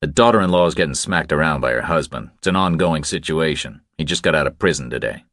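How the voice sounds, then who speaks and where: A man voicing a calm, tough drifter hero in an audiobook, laconic, steady and stubbornly protective. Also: extremely low pitch, fast talker, no echo, clean studio sound. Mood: insistent, protective.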